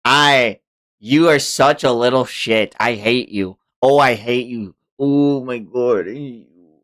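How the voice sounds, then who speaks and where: A man's voice: medium pitch, slow pace, fairly bright tone. A man streaming a comic read-along, narrating dramatically and reacting in casual, profane language.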